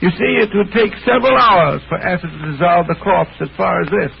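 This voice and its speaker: An actor playing a brisk, self-assured gentleman detective in a 1930s radio drama, clipped and given to repeating short phrases. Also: high voice, lively intonation, creaky, heavily acted. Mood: keen, explaining. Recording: muffled, hissy old 1930s radio recording.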